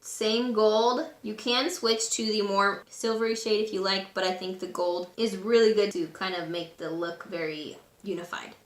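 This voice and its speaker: A woman with a raspy voice today, casually talking through a makeup tutorial at home. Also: mid-range voice, normal speed, few pauses, reverberant. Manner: chatty, relaxed and instructive, with frequent fillers. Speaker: beauty vlogger. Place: room at home.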